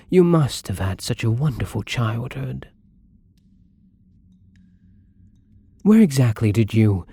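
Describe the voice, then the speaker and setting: Husky, creaky, soft-spoken vampire played by a man. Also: medium pitch, no echo, full character voice. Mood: wistful, fond. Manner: soft, tender, halting, wistful. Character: lonely, gentle, wistful male vampire captive in castle. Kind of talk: intimate ASMR roleplay acting.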